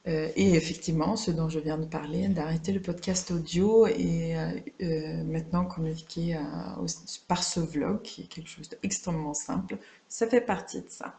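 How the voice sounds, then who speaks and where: A woman chatting casually and without a script on a personal craft vlog, reflective and self-deprecating, often pausing to find her words. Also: sits low, creaky, a little mellow. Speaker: craft vlogger.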